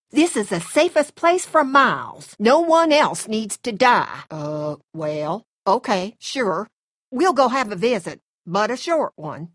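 A woman's voice: fairly high pitch, a bit bright, no echo, studio quality, full character voice. A woman playing a middle-aged Southern farm mother from a video game, with folksy, effusive hospitality and a controlling streak. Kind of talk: video game character acting.

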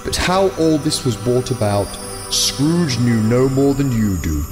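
Man, mid-pitched voice, measured scripted narration, storyteller, music behind. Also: expressive. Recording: long reverb, music behind.

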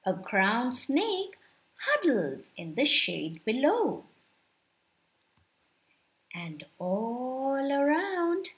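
A young woman reading a children's story aloud in an expressive, deliberate storytelling manner. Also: high-pitched. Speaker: storyteller, channel host.

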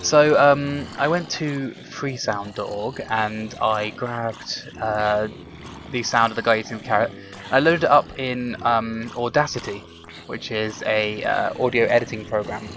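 A man's voice: mid-range voice, steady tone, unhurried, a bit bright, soft background music. A young man giving casual, rambling commentary while he plays a video game, talking to himself and to his viewers. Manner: chatty, rambling, frequent ums and self-talk. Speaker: gaming commentator. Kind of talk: casual let's play commentary.

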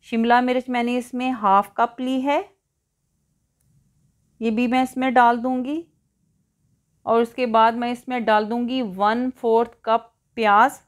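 A woman presents a cooking tutorial as a voice-over. Her voice sits on the high side. She talks in an instructional manner, taking the listener through the method one step after another. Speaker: cooking show host.

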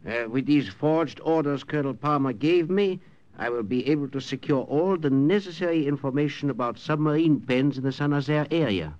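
An actor playing a polite, deferential German officer with a German accent in an old-time radio drama. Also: male voice, on the high side, normal speed, a little mellow, natural voice. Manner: formal, courteous, careful. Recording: dull, band-limited vintage radio recording.

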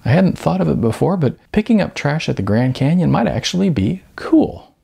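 A man reading a storybook plays an earnest, flustered waitress who dreams of acting. The pitch is lifted and swings about, with a husky grain. She hesitates and corrects herself. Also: sing-song, moderate pace, mellow, full character voice. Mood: thoughtful. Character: earnest, flustered female waitress and aspiring actress.

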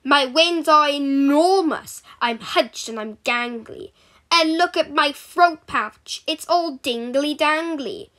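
A woman's voice: high-pitched, slow pace, bright, forceful tone, exaggerated voice. A woman voicing a grouchy, hunched old stork who speaks in rhyme. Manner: grumbling, rhyming.

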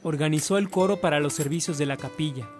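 A man's voice: a little high, fast, music in the background, close to an everyday voice. A man reading scripted narration for a radio drama.

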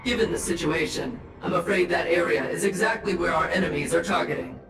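An actor playing a grave, dutiful prison officer in a video game, reporting formally. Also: male voice, a little high, fairly quick, a bit bright. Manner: formal, serious. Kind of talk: dramatic video game voice acting. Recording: very echoey hall, music behind. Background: game music.

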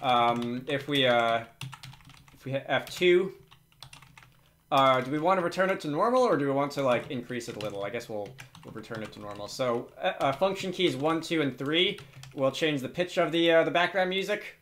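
A man's voice: medium pitch, animated, normal speed, a bit bright, slight background noise. A man presenting a casual, unscripted screencast tutorial, hesitantly thinking aloud as he works. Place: indoors at a computer.

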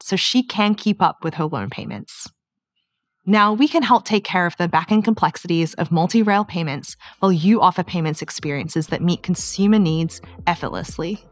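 A woman in her thirties from New Zealand with a softened accent, giving a clear, upbeat scripted keynote presentation. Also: on the low side, no echo, studio quality. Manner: clear, steady, upbeat delivery. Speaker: product manager presenting. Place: studio set with curtains.

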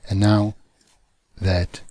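A man who does not speak natively, teaching CAD software in a halting, careful way over a screen recording. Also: low-pitched, level tone, dry recording. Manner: slow, halting phrasing with non-native grammar.

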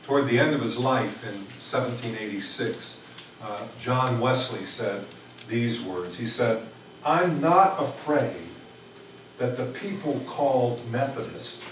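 Middle-aged American man as a pastor: unhurried, reflective delivery with wide pitch swings, speaking informally to a congregation. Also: expressive. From Oklahoma, United States. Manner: halting, reflective, with repeated words and emphatic pauses. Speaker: pastor preaching. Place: small church sanctuary, indoors. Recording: echoey church, distant microphone, faint noise.

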